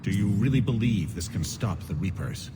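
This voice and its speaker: A man's voice: expressive, normal speed, lightly acted. An actor playing a stern, defensive male alien councilor in a game, formal and challenging. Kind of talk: dramatic game dialogue. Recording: reverberant chamber, clear rumbling noise.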